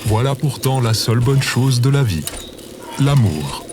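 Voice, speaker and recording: Very deep, gloomy philosopher. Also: female voice, lively intonation, measured pace, noisy background. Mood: solemn, disdainful. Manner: sententious, solemn. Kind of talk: fiction podcast acting.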